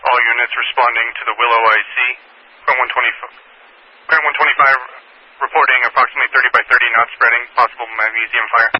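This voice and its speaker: A man's voice: medium pitch, fairly even intonation, fast, muffled recording. A man working as a fire dispatcher on two-way radio, matter-of-fact and clipped, repeating details back in procedural phrases. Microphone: radio dispatch channel. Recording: noisy band-limited scanner radio, clicks.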